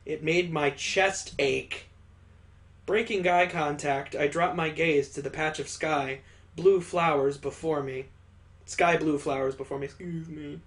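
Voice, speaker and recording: Slow-paced man reading a story aloud. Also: on the high side, steady tone, fairly bright tone. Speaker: let's player reading a visual novel aloud. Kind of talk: expressive read-aloud narration.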